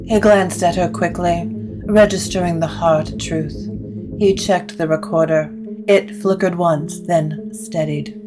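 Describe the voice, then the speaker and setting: A woman narrating a scripted gothic story in a measured, literary way. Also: lowish voice, fairly even intonation, over music, low-quality recording.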